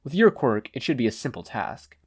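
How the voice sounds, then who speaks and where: A narrator voicing a composed villain man of mist with formal, measured speech for an audiobook. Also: medium pitch, big pitch swings, a little mellow, breathy edge, no echo, clean studio sound.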